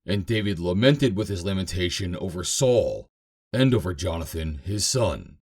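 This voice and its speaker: Male narrator, low pitch, very expressive intonation, solemn dramatic scripture reading. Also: moderate pace. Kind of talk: dramatic scripted reading of King James Bible.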